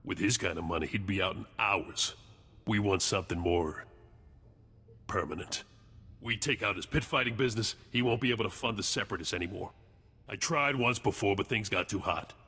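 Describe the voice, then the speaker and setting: A world-weary intelligence agent from a space adventure game. His low voice has a hard, forceful edge and a clipped delivery. It rings with long reverb. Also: a little fast, slightly rough, heavily acted. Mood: urgent, conspiratorial. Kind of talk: video game dialogue.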